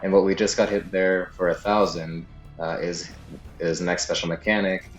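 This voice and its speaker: Very deep-voiced man explaining a game. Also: little pitch movement, moderate pace, highs cut off. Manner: casual, explanatory, hedging. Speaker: guide narrator. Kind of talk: video game walkthrough commentary, unscripted. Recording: slightly echoey room, game music underneath. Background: game music.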